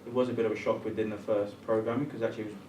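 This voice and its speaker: A man's voice: lowish voice, level tone, very fast, darkish tone, a little hiss or hum. A man, born in England and partly raised in Ghana, speaking as a guest in a conversation, searching for words with many ums and restarts. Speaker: guest programmer. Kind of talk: conversational discussion. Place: room at a public talk event. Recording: roomy, distant mic, faint ambience.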